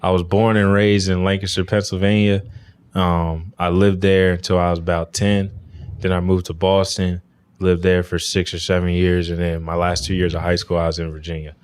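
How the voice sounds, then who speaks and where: A man's voice: low-pitched, little pitch movement, moderate pace, smooth. A young American man, a guest on a sports podcast, chatting in an easygoing way and laughing often. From USA. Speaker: guest, pro football player. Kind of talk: casual podcast chat.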